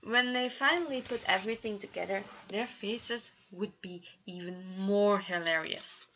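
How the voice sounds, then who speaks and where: A woman narrating a scripted story as an amateur reader, expressive, with small stumbles. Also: medium pitch, measured pace, lo-fi sound, a little hiss or hum. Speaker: amateur fan fiction reader.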